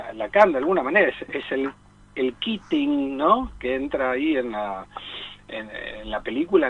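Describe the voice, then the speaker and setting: Guy on a radio talk show, kinda husky, halting, repeats words, muffled-ish sound. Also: medium pitch. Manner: halting, repetitive, peppered with rhetorical tag questions. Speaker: radio talk co-host discussing psychoanalysis. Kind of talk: reflective radio discussion.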